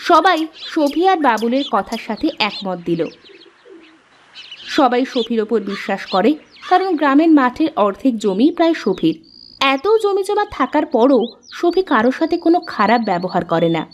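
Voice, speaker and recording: A woman's voice: on the high side, very animated, moderate pace, a little hiss or hum. A woman reading scripted storybook narration as the narrator of a children's moral tale.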